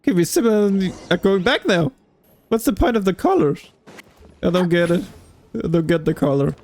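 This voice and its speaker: Guy streaming a game, slightly high voice, thinking out loud, halting and kinda puzzled. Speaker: gamer streamer. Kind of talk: casual gameplay commentary.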